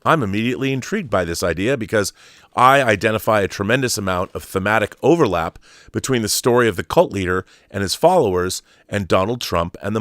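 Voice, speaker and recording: A man's voice: lowish voice, fairly bright tone, dry recording, studio quality. A man hosting an online show, reading an article aloud in an emphatic storytelling way and adding explanatory asides.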